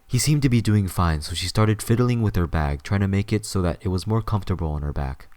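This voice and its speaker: A man's voice: low voice, a little fast, darkish tone, no echo. A man reading scripted story narration as the narrator of an audio drama.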